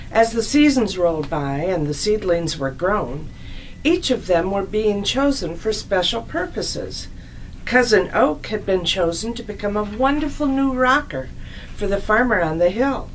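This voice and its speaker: A woman narrating a scripted children's story as a storyteller, in a deliberate, expressive read-aloud manner. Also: medium pitch, slight background noise, poor audio.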